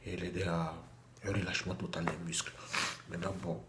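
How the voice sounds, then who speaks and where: Low, flat-voiced man with a cold. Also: quick pace, noisy background. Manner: chatty, repeats himself, many restarts. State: has a cold. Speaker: vlogger. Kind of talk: casual vlog to camera. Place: small bathroom at home. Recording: echoey room with faint ambience.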